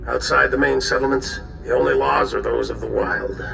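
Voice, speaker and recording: An actor playing a grave Republic general in a video game, gravelly and measured in his commands. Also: medium pitch, fairly even intonation. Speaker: military commander giving mission briefing. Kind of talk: video game cutscene acting. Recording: roomy echo, loud music behind.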